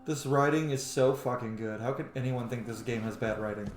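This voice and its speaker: A man doing casual let's play commentary on a video game, chatty and excitable, with plenty of swearing. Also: mid-range voice, a little fast, slight background noise. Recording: echoey room with game music behind.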